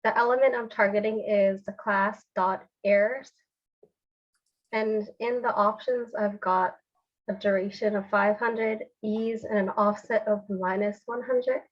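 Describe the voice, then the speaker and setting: A woman with a stuffy, congested voice talks through a software demo one step at a time. Her pitch sits in the middle, and the call audio is a little dull. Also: a little slow. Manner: steady step-by-step explanation. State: congested from a cold. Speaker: developer demonstrating a technique. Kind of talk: screen-share software tutorial.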